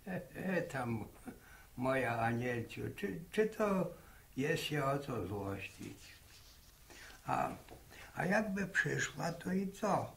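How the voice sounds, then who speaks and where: Creaky old village grandfather. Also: medium pitch, big pitch swings, a bit bright, full character voice. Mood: mild, soothing. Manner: slow, hesitant, stammering, repeats words, rustic speech. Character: folksy old grandfather of a village household, mild and curious. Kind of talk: 1960s radio drama acting. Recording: noisy old roomy radio recording with clicks.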